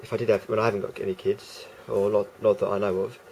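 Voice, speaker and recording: A man with a croaky voice talking casually to camera as a vlogger, rambling and hesitant with many fillers and restarts. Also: fairly low pitch, flat delivery, fast talker, darkish tone, slight background noise, low-quality recording.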